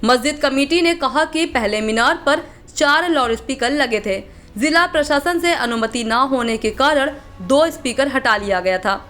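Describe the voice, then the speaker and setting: A woman's voice: high voice, expressive, a little slow, a bit bright. A woman reading a scripted news report as a voice-over. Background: faint music bed.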